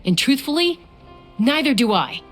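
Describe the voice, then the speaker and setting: A woman's voice: high voice, big pitch swings, normal speed. A woman playing a principled, protective young warrior in a video game, firm and confrontational in her delivery. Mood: firm, reproachful. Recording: music under the voice.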